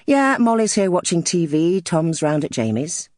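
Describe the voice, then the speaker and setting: A woman's voice: on the low side, very animated, normal speed, darkish tone, no room sound, studio quality. A woman voicing a tetchy, needling husband on a phone call in an audiobook, curt and sarcastic. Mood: flat, casual.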